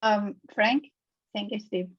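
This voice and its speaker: A woman's voice: medium pitch, slow, husky, dry recording. A woman moderating a panel on a webinar, speaking carefully with small restarts. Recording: dull, dry video-call audio.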